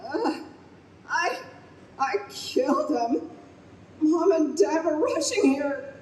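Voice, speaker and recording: A distraught young mother acted by a woman in an old-time radio play, very high in pitch and swooping widely. She draws her lines out with big emotion, in an echoey live room. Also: big pitch swings, full character voice. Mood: grief-stricken, drunk. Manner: dramatic, emotional, drawn-out delivery. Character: distraught young single mother, grieving, drinking, later doting on baby. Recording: live stage recording, echoey room, faint noise.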